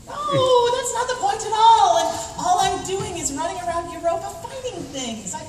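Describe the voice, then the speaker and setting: A woman's voice: extremely high pitch, expressive, moderate pace. A woman playing a feisty, exasperated young inventor heroine in a comedic radio play, emphatic and indignant. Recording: echoey hall, far from mic, noisy.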